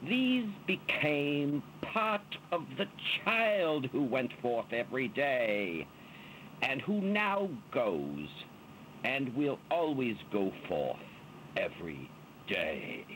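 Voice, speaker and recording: A man giving an expressive, dramatic poetry recitation in a theatrical manner, drawing out his words. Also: medium pitch, slow, a little hiss or hum, poor audio. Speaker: reader of poetry.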